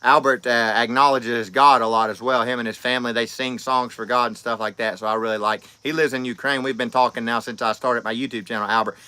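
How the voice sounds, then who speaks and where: A man in his thirties talks on a livestream in a rambling, folksy way, often trailing off and restarting. His voice is bright and smooth. The sound is dry and close, with no room echo. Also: mid-range voice, fairly even intonation, fast, hardly any pauses. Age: 30s. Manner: rambling, friendly, folksy with many fillers and restarts. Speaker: livestream host. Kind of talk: casual livestream chat reading comments.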